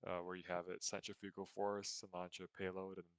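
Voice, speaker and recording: A young man co-hosting a casual podcast, easygoing and quick to laugh. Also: low voice, completely flat delivery, smooth, dry recording. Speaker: podcast co-host. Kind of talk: casual chat.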